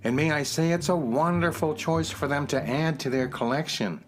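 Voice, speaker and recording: A man's voice: fairly high pitch, normal speed, close to an everyday voice. A man playing a courteous, talkative gallery keeper in a children's radio drama, eagerly and politely explaining things. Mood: helpful, proud. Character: courteous male music box gallery keeper, enthusiastic and talkative.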